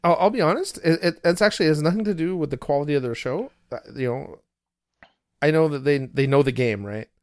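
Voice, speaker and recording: A man's voice: on the high side, fast talker, no echo. Man chatting with restarts, dry sound. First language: not English. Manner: relaxed, rambling, with frequent restarts. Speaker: podcast co-host. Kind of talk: casual chat.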